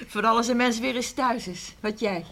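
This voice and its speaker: Chatty village housewife. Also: female voice, on the high side, fairly bright tone, full character voice. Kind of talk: radio play acting. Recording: faint noise and clicks, old radio recording.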